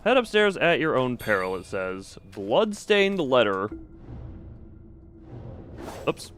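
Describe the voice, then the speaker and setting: A man's voice: mid-range voice, very animated, slow pace, fairly bright tone, soft background music. A man doing casual live game commentary as a streamer, reading text aloud and adding wry, muttered asides.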